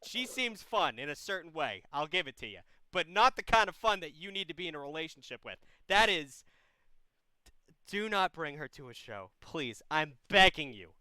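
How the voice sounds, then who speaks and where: A young man hosting a livestream advice call-in show, blunt and animated, sometimes playing a mock preacher. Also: fairly high pitch, normal speed, clean tone, dry recording. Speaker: advice hotline host.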